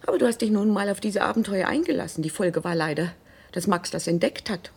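A steely middle-aged mother in a radio play. Her medium-pitched voice is husky, and she speaks with cool control, placing each point deliberately. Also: expressive, darkish tone, full character voice. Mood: stern, reasoning. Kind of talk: German radio drama acting.

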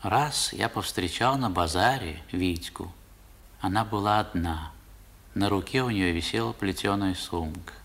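Male narrator, low register, expressive and literary, looking back wistfully, old radio drama. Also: measured pace, heavily acted. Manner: expressive, theatrical storytelling. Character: man recalling his boyhood, wistful and dramatic. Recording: vintage 1960s radio recording.

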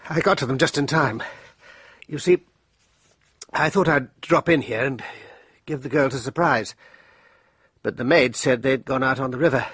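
An actor playing a gallant, direct British army major recounting events in a radio drama. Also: male voice, medium pitch, normal speed, a bit bright, husky, no room sound. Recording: noisy, with clicks.

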